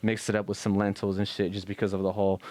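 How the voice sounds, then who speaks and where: A man's voice: on the low side, level tone, fast, darkish tone. A man talking casually as a podcast co-host, with slangy, profane speech and frequent "you know what I mean" tags.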